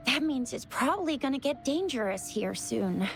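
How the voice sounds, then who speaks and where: A woman playing a bubbly, chatty, eager young female patroller in a video game, speaking in an excitable, informal way. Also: high-pitched, normal speed, vocal fry. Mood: upbeat, chatty. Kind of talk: video game character voice acting. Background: background game music.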